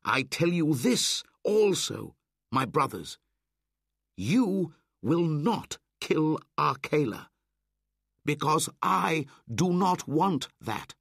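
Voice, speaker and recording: A man voicing a fierce young boy raised by wolves, speaking loud and high. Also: big pitch swings, drawn-out words, vocal fry, slightly rough, no echo, studio quality, heavily acted. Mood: defiant. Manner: loud, high, forceful declaring.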